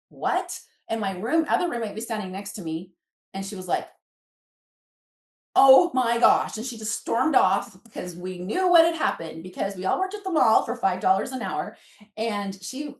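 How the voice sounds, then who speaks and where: A woman in her fifties with a mid-pitched voice, recorded in an echoey room. She talks in a chatty storytelling way and voices remembered conversations as she goes. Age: 50s. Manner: chatty, acts out remembered dialogue. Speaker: podcast guest. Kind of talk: animated personal storytelling.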